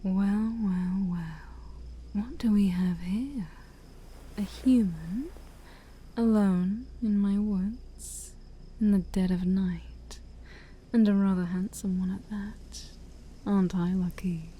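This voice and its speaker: A woman playing an ancient, sly demon princess in soft-spoken ASMR roleplay, breathy and near-whispered, with a teasing, haughty manner. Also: mid-range voice, steady tone, a little hiss or hum, close to an everyday voice. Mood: sly, intrigued. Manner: slow, teasing, haughty, theatrical.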